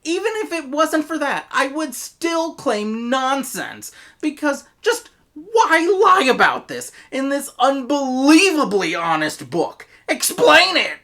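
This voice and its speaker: A woman with an American accent giving a scripted review in an animated manner, with bursts of mock-exasperated emphasis. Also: high-pitched, slow, few pauses. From United States. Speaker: audio drama reviewer.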